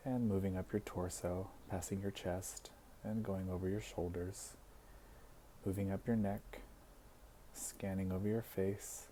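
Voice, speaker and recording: A man leading a guided body scan meditation as an instructor, speaking in a gentle, soothing way with deliberate pauses. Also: low-pitched, a little hiss or hum.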